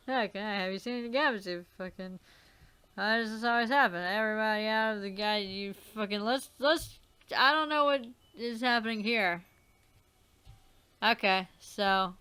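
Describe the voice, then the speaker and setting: A woman's voice: on the high side, moderate pace, fairly bright tone, clean tone, no room sound. A woman doing casual game commentary, rambling and swearing a lot. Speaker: let's player.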